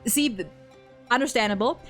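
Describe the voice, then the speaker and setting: Higher-voiced woman chatting, rambling and stammery. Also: moderate pace, soft background music. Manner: animated, rambling, stammering with repeated words. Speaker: streamer and video co-host. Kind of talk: casual chat reviewing a game's story.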